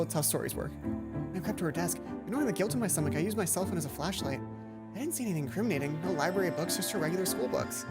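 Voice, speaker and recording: A woman hosting a let's play, mixing casual, sweary commentary with reading a visual novel's narration aloud. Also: fairly low pitch.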